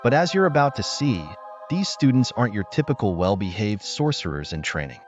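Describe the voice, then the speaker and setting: Low-voiced male storyteller, lively delivery. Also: a little fast. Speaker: narrator. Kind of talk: scripted comic story narration for children. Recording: muffled lo-fi audio, music underneath. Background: background music.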